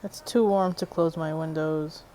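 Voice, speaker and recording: A woman's voice: low voice, steady tone, unhurried, noisy background. A woman chatting casually as she comments over video gameplay. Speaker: gameplay streamer.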